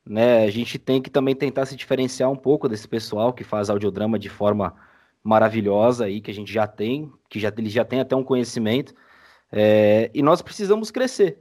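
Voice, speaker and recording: A man's voice: on the low side, moderate pace, darkish tone. A man speaking as a guest in a casual interview, explaining things informally. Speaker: podcast guest, audio drama creator.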